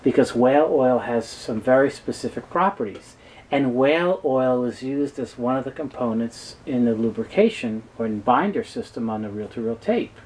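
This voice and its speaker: A man giving a halting, explanatory technical talk as an expert guest in an interview. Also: mid-range voice, animated, darkish tone, poor audio. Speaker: guest expert on reel-to-reel tape.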